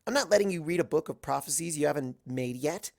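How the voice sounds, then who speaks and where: Man putting on an anxious, high-voiced bookish pony. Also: animated, fast, creaky, darkish tone. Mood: suspicious, stubborn.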